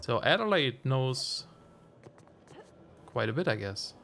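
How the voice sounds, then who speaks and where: A slightly hoarse man chatting casually while streaming a game, musing aloud with self-amused asides. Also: mid-range voice, sing-song, takes it slowly, fairly bright tone, slight background noise. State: slightly hoarse, losing his voice. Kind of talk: casual let's-play commentary.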